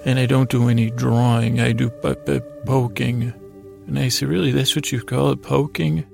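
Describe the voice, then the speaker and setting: A man with a gravelly, creaky voice hosting a podcast, rambling through an improvised bedtime monologue in a halting, stammering way that often interrupts itself. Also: mid-range voice, little pitch movement, darkish tone, soft background music. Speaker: podcast host.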